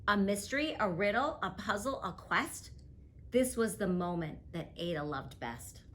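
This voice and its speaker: A woman reading a rhyming picture book aloud as a guest reader, with a rhythmic, expressive delivery. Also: mid-range voice, normal speed, a little hiss or hum.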